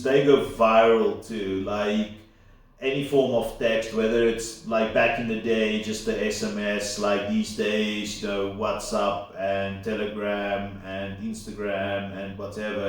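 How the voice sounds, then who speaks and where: Slow-talking man, Afrikaans first language. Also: on the low side. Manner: unscripted, full of fillers and asides. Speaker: solo commentator. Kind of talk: casual rambling monologue. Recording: very echoey room, far from mic.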